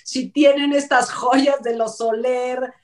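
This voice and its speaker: A woman's voice: high voice, steady tone, slow pace. A middle-aged woman with a Mexican accent co-hosting an entertainment talk show, chatty and opinionated, giving casual news commentary. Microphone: video call. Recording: distant mic on video call.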